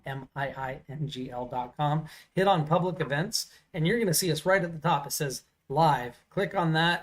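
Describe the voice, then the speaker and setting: Loud, rambling man telling stories. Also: on the high side. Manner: loud voice that carries; rambling, many fillers and restarts. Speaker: podcast co-host. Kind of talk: casual storytelling chat.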